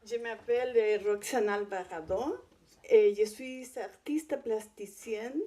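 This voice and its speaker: Slow-spoken woman, Chilean Spanish accent. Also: mid-range voice, dark tone. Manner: hesitant, halting delivery with non-native phrasing. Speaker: guest speaker, visual artist. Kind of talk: conference presentation.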